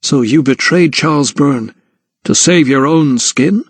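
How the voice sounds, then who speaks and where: A man's voice: a little high, a little slow, slightly rough, no echo, voice pushed up. A man voicing an earnest, polite young doctor, formal in speech and easily shaken, in an audiobook. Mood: hurt. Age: young adult.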